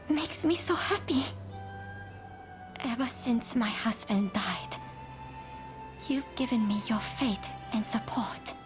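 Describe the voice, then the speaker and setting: A gentle samurai's widow in a video game drama, high and slow. Her speech is soft, formal and earnest, with music clearly behind it. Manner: soft, breathy, formal and measured.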